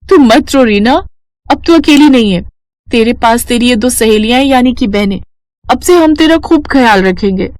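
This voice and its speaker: A woman voicing a cheerful, excitable young married woman in an animated story, exclaiming emphatically. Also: fairly high pitch, normal speed, dry recording, voice pushed up, natural voice. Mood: reassuring.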